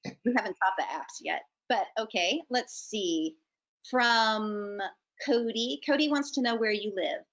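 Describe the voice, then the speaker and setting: A woman's voice: medium pitch, measured pace, a bit bright. Woman hosting over slightly muffled video call. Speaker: host relaying audience questions. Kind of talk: casual hosting chat. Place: indoor room on a video call. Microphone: computer video call. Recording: dull, lo-fi video call audio.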